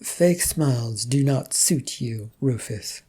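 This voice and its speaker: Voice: medium pitch, animated, takes it slowly, dark tone, slightly rough. A narrator voicing a teasing, curious woman friend in a story, talking in a casual, blunt way. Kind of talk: amateur story reading in character.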